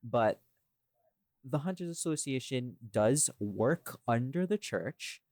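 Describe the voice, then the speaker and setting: A man's voice: medium pitch, expressive, slow pace, a little mellow, dry recording, studio quality. A man in a casual podcast chat, talking in a rambling, irreverent way and swearing freely as he explains himself. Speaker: podcast host and voice actor.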